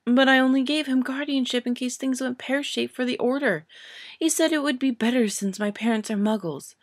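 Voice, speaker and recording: A woman's voice: high voice, brisk, no echo, voice pushed up. A woman reading an audiobook story and voicing an indignant, stubborn young witch in accusatory, incredulous outbursts. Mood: protesting, distressed.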